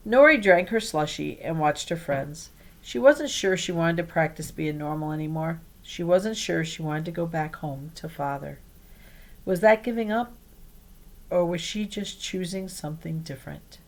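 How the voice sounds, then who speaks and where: A woman reading a children's storybook aloud in an expressive way. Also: on the low side, moderate pace. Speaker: read-aloud reader.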